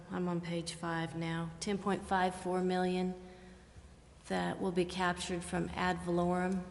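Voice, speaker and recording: A woman on a city committee asking careful questions at a meeting. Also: lowish voice. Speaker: committee member. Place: council chambers. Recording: reverberant chamber with faint noise.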